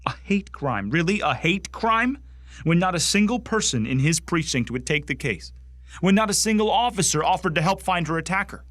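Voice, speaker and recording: An actor playing a bitter, bigoted young man who wants to join a space marine corps, with an intense, indignant delivery and heavy pauses in a radio drama monologue. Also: on the low side, no echo, studio quality, natural voice. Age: young adult.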